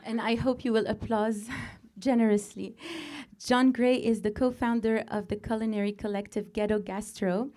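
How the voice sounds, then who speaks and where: A woman in her forties from Lebanon, a native Arabic speaker, hosting a show and opening it with an earnest, deliberate monologue full of pauses and restarts. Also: on the high side, steady tone, dark tone. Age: 40s. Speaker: host. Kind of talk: opening monologue to a live audience.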